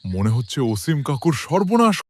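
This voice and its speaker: A man voicing an anxious, cautious village gentleman in a cartoon story, with an alarmed, trembling manner. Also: medium pitch, very animated, normal speed. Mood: frightened.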